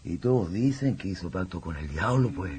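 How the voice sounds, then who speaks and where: A man's voice: low voice, animated, brisk, darkish tone, breathy edge, heavily acted. A man playing a gossipy, suspicious Chilean villager with rustic country speech in a radio drama.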